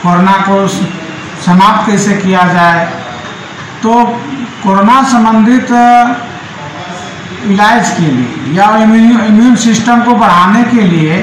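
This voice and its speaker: A man's voice: sits high, slow. A man speaking as a doctor in an interview, recorded in a very reverberant room. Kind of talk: interview statement. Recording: very echoey room, faint noise.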